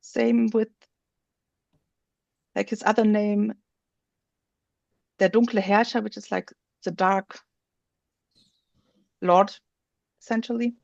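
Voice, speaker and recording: A woman presenting on a video call, slowly walking through a software feature while she shows her screen. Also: medium pitch, fairly even intonation, gravelly, dry recording, muffled recording. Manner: slow, explanatory, thinks aloud while showing her screen. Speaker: webinar presenter.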